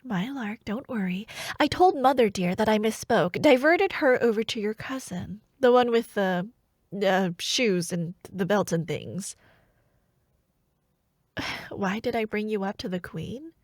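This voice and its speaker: A woman performs a young royal princess from a fantasy ASMR roleplay, sly and haughty. She speaks slowly in a hushed, teasing, intimate way, as if scheming at court. The sound is very dry, with no room around the voice. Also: mid-range voice, no echo. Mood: coaxing, conspiratorial.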